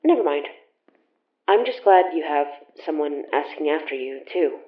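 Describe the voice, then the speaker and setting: A woman's voice: sits low, animated. Casual young woman, roomy echo. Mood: gently teasing. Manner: casual, halting, conversational. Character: young woman, friendly, teasing and caring. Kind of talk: naturalistic audio drama acting. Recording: roomy echo, dull lo-fi sound.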